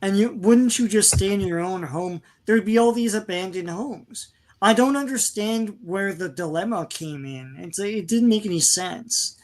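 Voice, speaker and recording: A man co-hosting a casual podcast, agreeable and quick with short affirmations. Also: high voice, poor audio. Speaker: podcast co-host. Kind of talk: casual chat.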